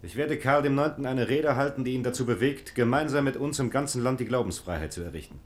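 An actor playing a fervent, idealistic gentleman diplomat, declaiming earnestly in a German radio play. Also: mid-range voice, lively intonation, fast, slight background noise, close to an everyday voice.

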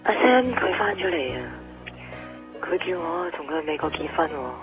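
A woman's voice: low voice, sing-song, moderate pace. A woman playing an anxious young woman calling an old love, urgent and pleading, heard through a phone filter. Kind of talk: Cantonese radio drama acting. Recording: tinny phone line with music.

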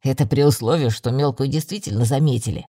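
A woman voicing a young male werewolf in a fantasy story, brisk and probing but friendly. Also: extremely low pitch, warm tone, slightly rough, no room sound, clean studio sound, voice pushed down. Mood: dry, arguing.

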